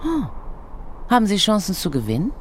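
An actress playing a matter-of-fact female schoolteacher in a radio play, with a creaky voice. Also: mid-range voice, huge pitch swings, moderate pace, a little hiss or hum. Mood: curious, frank. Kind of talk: radio play acting, narrated monologue and dialogue.

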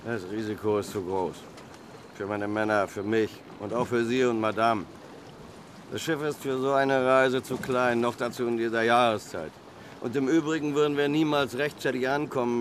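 A man's voice: medium pitch, fairly even intonation, normal speed, natural voice. An actor playing a cautious, deferential male harbour boat pilot in a German audio drama, plain-spoken in manner. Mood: cautious, bargaining. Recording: faint noise, watery harbour ambience. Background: water and harbour ambience.

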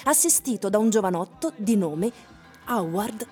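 A woman giving measured, scripted literary narration for a radio production. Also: mid-range voice, animated, a little mellow. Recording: radio production over music bed.

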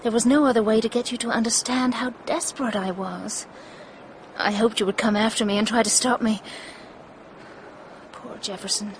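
An actress playing an anxious, regretful wife in a radio drama, intense and pleading. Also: female voice, fairly high pitch, moderate pace, natural voice. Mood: regretful, desperate. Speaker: actor. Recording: faint background noise.

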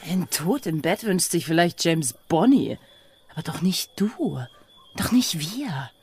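An actress in a German radio drama playing a bold, freedom-hungry pirate's lover, speaking with earnest, intimate passion. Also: female voice, on the low side, expressive, a little slow, darkish tone, husky, exaggerated voice. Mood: teasing. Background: music.

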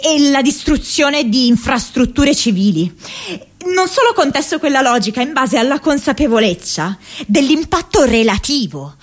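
An actress playing an earnest, distressed young woman activist in a radio monologue, impassioned and halting, repeating words as she goes. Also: fairly high pitch. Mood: indignant, insistent. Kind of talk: dramatic radio monologue from diaries and letters.

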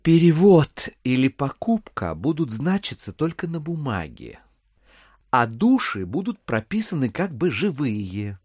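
An ingratiating gentleman schemer in a classic audio drama. His voice swoops widely and takes its time, wheedling with hesitant politeness in a close, dry recording. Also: medium pitch, very animated, a little slow, husky, dry recording. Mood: coaxing. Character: smooth, wheedling gentleman schemer buying from landowners.

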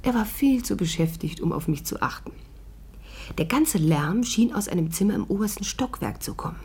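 Husky, dignified older lady narrator. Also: mid-range voice, lively intonation, normal speed, a little mellow. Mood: dryly narrating. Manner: storytelling, composed. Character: dignified older woman narrating her own sleuthing adventure. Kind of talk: narration in a 1950s German radio play.

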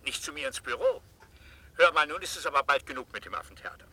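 A man's voice: on the high side, fast talker, sharp tone, slightly rough, heavily acted, poor audio. An actor in a radio drama playing a domineering, indignant father and boss, exasperated and sarcastic.

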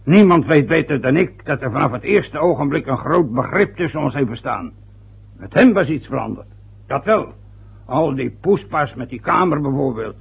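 Aging father, stubborn and sarcastic, gravelly voice, lo-fi radio drama. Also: mid-range voice, animated, normal speed, exaggerated voice. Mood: defensive. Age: older. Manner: grumbling, sarcastic. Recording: dull lo-fi old radio recording.

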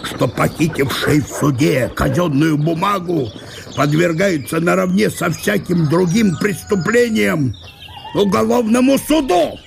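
Man playing a gravelly, pompous police chief. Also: sits high, slight background noise, pitched above the performer's own voice, heavily acted. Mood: officious. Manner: pompous, deliberate, official. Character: pompous, officious small-town police chief.

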